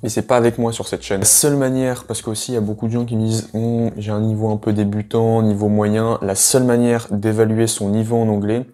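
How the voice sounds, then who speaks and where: Guy doing a chill english lesson video, low dark voice, lots of ums. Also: level tone, a little fast, few pauses. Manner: chatty, addresses viewer directly, many hesitations. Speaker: English teacher.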